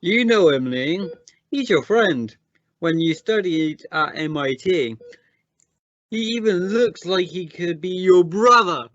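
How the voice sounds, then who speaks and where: A man playing a defensive, accusing scientist in an amateur radio drama, speaking in a halting, formal and deliberate way. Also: sits high, expressive, a bit bright, dry recording, muffled recording, full character voice. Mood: earnest, suspicious. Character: defensive, accusing male scientist on a space mission. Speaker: amateur radio play actor.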